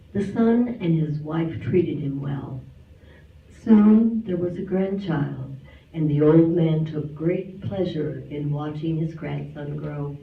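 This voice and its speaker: Slow-reading male storyteller in an echoey hall. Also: fairly high pitch, vocal fry. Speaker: reader at an amateur reader's theater. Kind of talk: storytelling, read aloud on stage. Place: clubhouse hall stage. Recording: distant echoey hall recording, thin phone-like sound, hiss.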